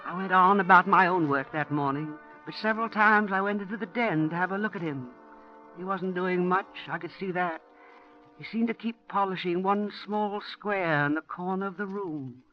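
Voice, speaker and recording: A woman's voice: lowish voice, moderate pace, faint music behind, close to an everyday voice. An actress playing a genteel, motherly middle-aged woman who narrates her own ordeal in a 1940s radio drama. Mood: hushed recollection. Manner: genteel, motherly, growing frantic. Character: kindly widowed woman, mother of two servicemen, terrified. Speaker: narrator and lead character. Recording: muffled 1940s radio broadcast.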